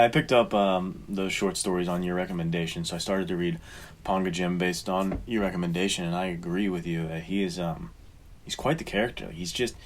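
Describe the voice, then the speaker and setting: A man's voice: deep voice, a little hiss or hum. A man co-hosting a podcast, talking informally about books and explaining his thoughts in a casual chat. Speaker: podcast co-host.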